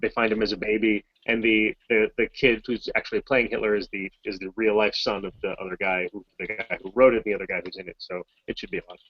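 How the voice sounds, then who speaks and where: Low-voiced man chatting over a lo-fi call. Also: level tone, fast, few pauses, slight background noise. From Massachusetts, US. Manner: chatty, rambling, self-deprecating. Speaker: voice actor answering fan questions. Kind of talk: casual Q&A chat. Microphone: video call.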